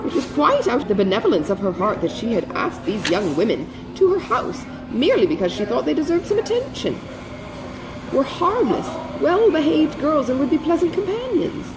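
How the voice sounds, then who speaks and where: Woman reading as a solemn, self-important gentleman, swooping pitch. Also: big pitch swings, moderate pace, rough recording. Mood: aggrieved, indignant. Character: pompous, self-righteous married gentleman, solemnly aggrieved brother. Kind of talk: classic novel dialogue in audiobook reading.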